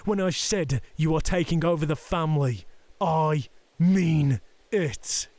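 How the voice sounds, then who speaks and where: A man's voice: fairly high pitch, darkish tone, dry recording. A man playing a cruel, domineering middle-aged Yakuza boss and father in an audio drama, taunting and threatening. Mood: menacing. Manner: taunting, threatening, slow deliberate delivery. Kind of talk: ASMR roleplay audio drama acting.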